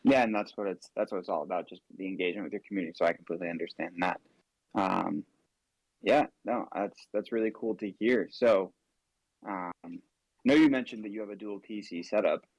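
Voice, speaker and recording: A man's voice: low voice, a little fast, a little mellow, dry recording, low-quality recording. A man hosting a casual interview, friendly and rambling with many fillers. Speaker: interview host.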